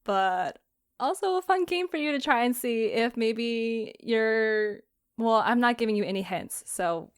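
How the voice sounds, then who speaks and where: A woman's voice: on the high side, expressive, a little slow, clean tone, dry recording, studio quality. A woman hosting a casual podcast chat, giggling often as she talks. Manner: giggly, laughs often while talking. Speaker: podcast host and creator.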